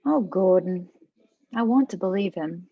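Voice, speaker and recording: Woman acting a creaky-voiced, conniving aristocratic older lady. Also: lowish voice, lively intonation, a little slow, dry recording, dull audio. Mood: coolly threatening. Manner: polished, silky menace. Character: arrogant aristocratic sixty-ish mother, steely, conniving, falsely polite. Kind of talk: table read of a comedy film script.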